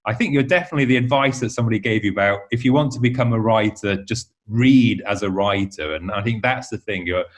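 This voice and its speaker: Low-pitched English man, chatty. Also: fast talker, few pauses. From Yorkshire, England. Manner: chatty, self-correcting, rambling. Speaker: interview guest, novelist and teacher. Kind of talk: casual conversational interview.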